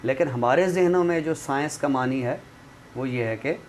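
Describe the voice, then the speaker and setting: A man's voice: mid-range voice, moderate pace. A man explaining things in a didactic, conversational way, often checking that the listener follows. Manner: didactic, checks understanding with tag phrases. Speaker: literary expert guest. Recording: clearly noisy room recording.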